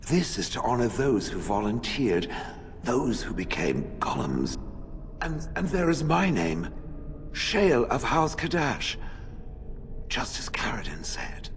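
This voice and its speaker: An actor voicing a haughty, sardonic ancient stone golem in a video game, with stilted, condescending, deliberate phrasing. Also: male voice, medium pitch, big pitch swings, a little hiss or hum. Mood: awed recollection. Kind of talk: dramatic video game acting.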